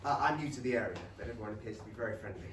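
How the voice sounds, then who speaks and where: An actor playing a boyishly enthusiastic, rich young Regency gentleman with an English accent in a staged comedy reading. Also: on the low side, racing, close to an everyday voice. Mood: polite, friendly. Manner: eager, gushing. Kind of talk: staged reading of a comic play. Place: small theatre stage. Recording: roomy theatre, distant mic, faint noise.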